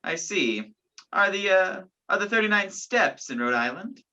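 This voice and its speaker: A young woman playing a sceptical, gallant gentleman in an amateur radio play, with a theatrical, incredulous manner. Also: mid-range voice, expressive, a little slow. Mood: reassuring. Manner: incredulous, theatrical, old-fashioned phrasing. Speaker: student actor in a school radio play. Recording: dull lo-fi home recording.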